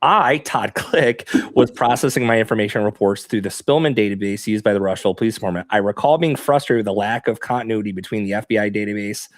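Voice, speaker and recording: Casting: low male co-host, deadpan jokes, close dry mic. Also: no room sound. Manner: dry jokey asides, reads quickly. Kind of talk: casual true-crime commentary.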